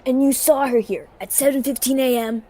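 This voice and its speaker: A woman playing an exasperated older small-town sheriff in radio theatre, blunt and husky. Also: high-pitched, fairly quick, warm tone. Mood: commanding. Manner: blunt, exclamatory.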